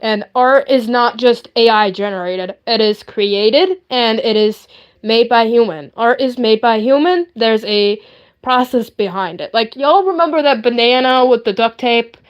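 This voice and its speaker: Woman livestream host, slightly high voice, casual and rambling, earnest, repeats points for emphasis. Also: unhurried, muffled recording.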